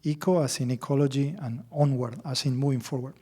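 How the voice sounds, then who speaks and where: A middle-aged native Spanish speaker from Argentina, a man with an Argentinian accent, presenting at a conference in halting, careful phrases. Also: mid-range voice, steady tone, warm tone, husky. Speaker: company executive presenting at a conference. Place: large conference hall at a podium. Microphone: podium microphone. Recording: reverberant conference hall sound.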